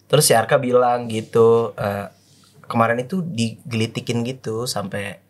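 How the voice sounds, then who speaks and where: Brief: man, 30s, relaxed panel co-host, mid-pitched, casual advice. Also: normal speed.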